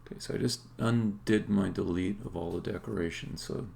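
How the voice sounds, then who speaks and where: Male game developer streamer, deep and heavily creaky, casual muttered think-aloud, hesitant phrasing. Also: darkish tone. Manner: halting, muttering to himself while working. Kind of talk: casual think-aloud live-coding commentary.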